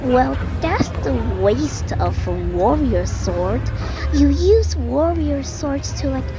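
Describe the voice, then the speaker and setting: A woman voicing a chatty, quirky animal friend in an amateur animated drama, with a casual, quibbling manner. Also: sits high, takes it slowly, exaggerated voice. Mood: incredulous. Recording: loud music and crowd noise behind.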